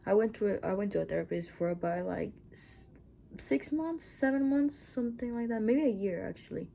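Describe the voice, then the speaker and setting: A woman's voice: medium pitch, normal speed, vocal fry, dark tone, a little hiss or hum. A woman from Mexico with a Mexican accent, Spanish as her first language, chatting in a casual personal vlog, rambling with asides and sometimes dropping to a whisper. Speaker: channel host.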